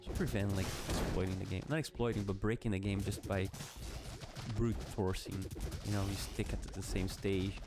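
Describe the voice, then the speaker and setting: Brazilian man, lowish voice, casual game commentary, loose and self-deprecating, game music playing behind. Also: fairly even intonation, normal speed. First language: Portuguese. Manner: rambling, self-mocking, swears freely. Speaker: gaming video creator.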